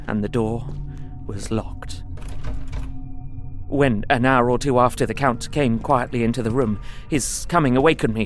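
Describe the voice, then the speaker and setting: An actor playing an earnest, anxious young solicitor held captive, narrating his journal in a measured, literary way for an audio drama. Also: medium pitch, lightly acted. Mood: quiet resignation.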